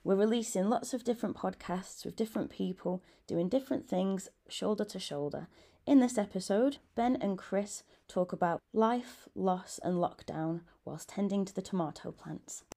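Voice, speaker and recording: A woman delivers a calm, scripted introduction. Her voice is warm and mellow, with a gravelly edge. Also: medium pitch, normal speed. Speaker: narrator. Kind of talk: scripted narration.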